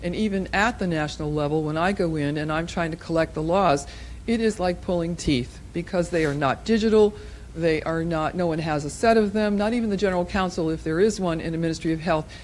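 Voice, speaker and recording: A woman's voice: fairly low pitch, brisk, slight background noise. A woman making an articulate, emphatic comment as an audience member at a conference. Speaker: audience member asking a question.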